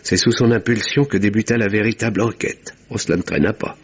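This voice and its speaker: An actor playing a courteous, formal village doctor who narrates and talks in a radio mystery with literary theatrical delivery. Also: male voice, on the low side, fairly even intonation, brisk, husky, muffled recording. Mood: earnest recounting.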